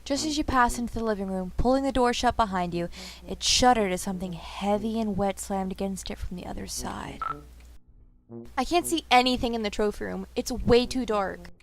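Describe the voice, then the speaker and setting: A woman's voice: mid-range voice, normal speed, faint music behind, close to an everyday voice, poor audio. A woman voicing a sardonic young girl adventurer, narrating and talking in a game fan dub with wry, casual asides. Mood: uneasy, dry. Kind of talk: fandub game narration and dialogue.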